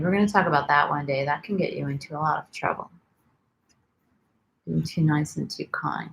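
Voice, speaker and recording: Low, gravelly-voiced woman chatting on a livestream. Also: a little hiss or hum, poor audio. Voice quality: calming voice. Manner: soft, rambling, with many ums. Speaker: wellness vlogger. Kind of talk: casual livestream chat.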